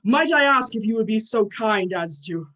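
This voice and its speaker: An amateur performer playing a proud, gruffly impatient male thunder god with formal, archaic phrasing in a play reading. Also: mid-range voice, lively intonation, moderate pace, bright, forceful tone. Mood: courteous, proud.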